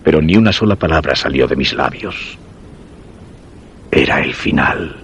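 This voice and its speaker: Very deep actor as a horrified husband, Spanish accent. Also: male voice, animated, normal speed, gravelly, fairly bright tone, highs cut off, slight background noise, heavily acted. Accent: Spain. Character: terrified husband recounting his own horrifying ordeal. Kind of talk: suspense radio drama narration. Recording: music behind the voice.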